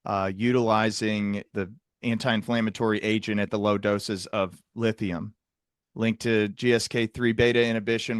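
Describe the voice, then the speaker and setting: A man's voice: fairly low pitch, no echo, clean studio sound. A man hosting a conversational interview, explaining things at length in technical terms as a psychiatrist. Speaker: host, psychiatrist interviewing a guest.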